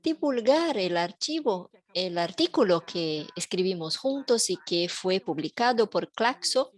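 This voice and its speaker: A woman interpreting a panel talk in a measured, even way over a video call. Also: medium pitch. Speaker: simultaneous interpreter.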